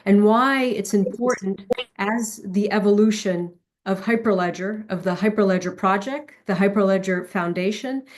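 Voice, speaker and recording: A woman with a European Portuguese accent delivering a keynote over a video call. Her pitch sits at a middle level and she speaks fluently. She moves easily from one point to the next. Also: a little slow. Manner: warm, fluent, switches between Portuguese and English. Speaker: keynote speaker, foundation executive. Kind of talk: online conference presentation.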